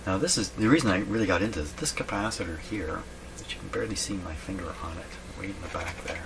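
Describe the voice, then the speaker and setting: Very low male voice, casual repair commentary, musing aloud, self-questioning, clearly noisy workshop recording. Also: fast talker, a bit bright. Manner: musing, asks himself questions. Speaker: radio repair hobbyist and video host.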